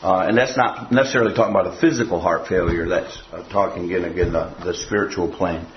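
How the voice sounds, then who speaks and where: A man's voice: low-pitched, steady tone, quick pace, reverberant, lo-fi sound, a little hiss or hum. An older man preaching a sermon to a church audience, rambling and folksy, with a habit of repeating himself.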